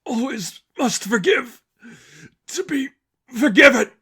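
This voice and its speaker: A man's voice: very high voice, expressive, takes it slowly, bright, forceful tone, breathy edge, pitched above the performer's own voice, full character voice. A man voicing a fanatical male villain, battered and taunting, with a strained, sanctimonious delivery in a story reading. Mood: pleading, pained.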